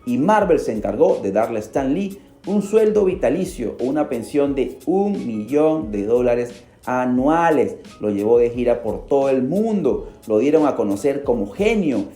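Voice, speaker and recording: A man hosts a podcast and narrates a story in a dramatic, animated way. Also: mid-range voice, measured pace. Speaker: podcast host. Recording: roomy echo. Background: faint music.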